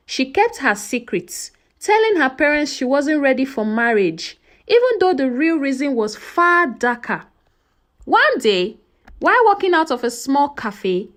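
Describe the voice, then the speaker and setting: High, animated woman storyteller. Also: measured pace. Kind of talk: scripted dramatic storytelling narration of a folktale.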